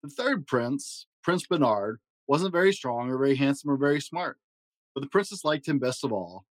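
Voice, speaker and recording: A man reading a children's fairy tale aloud in a gentle storytelling manner. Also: expressive, moderate pace, no room sound. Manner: gentle storytelling with varied pitch for emphasis. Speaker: storybook reader.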